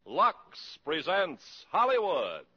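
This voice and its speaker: A man's voice: on the high side, wildly swooping pitch, slow pace, heavy background noise. A man announcing a 1950s radio show in a formal scripted style. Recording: muffled old radio recording, loud music behind.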